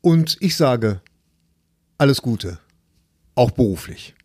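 A man's voice: medium pitch, lively intonation, takes it slowly, darkish tone, slightly rough, dry recording, clean studio sound. A male podcast host chatting casually in a hesitant, self-deprecating way. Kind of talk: casual chat.

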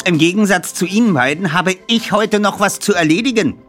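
A man's voice: sits high, brisk, a bit bright, faint music behind, pitched above the performer's own voice. A man voicing an impatient, indignant elderly female customer in an audiobook reading. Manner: indignant, barking.

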